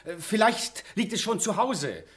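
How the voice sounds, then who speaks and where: A man's voice: high voice, big pitch swings, a little slow, fairly bright tone, heavily acted. A man acting in a German radio play as a feckless junior bank cashier. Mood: defensive, flustered. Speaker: radio drama actor.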